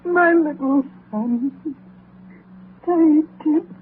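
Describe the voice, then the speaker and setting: Tender bereaved mother, weeping, very slow delivery, tinny vintage radio drama. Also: high voice. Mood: tearful grief. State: crying. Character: grieving, tender mother of a poor family. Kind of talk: 1930s radio drama acting. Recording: tinny, noisy 1930s radio broadcast.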